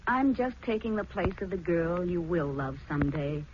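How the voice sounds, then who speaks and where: An actress playing a troubled, gentle married woman in a 1940s radio drama, earnest and pleading. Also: on the low side, lively intonation, normal speed, muffled recording, close to an everyday voice. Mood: wistful, troubled. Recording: noisy, band-limited 1940s radio recording.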